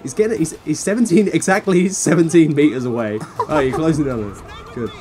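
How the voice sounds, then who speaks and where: A man's voice: a little high, brisk, a little mellow. A man co-hosting a let's play, chatting casually and jokingly over the gameplay in a rambling way.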